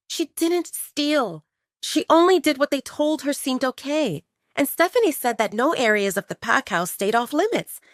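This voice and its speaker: Expressive woman narrating with lively pitch. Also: no echo, studio quality. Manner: expressive, dramatic storytelling. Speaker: audiobook narrator. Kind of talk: dramatic scripted narration of a romance story.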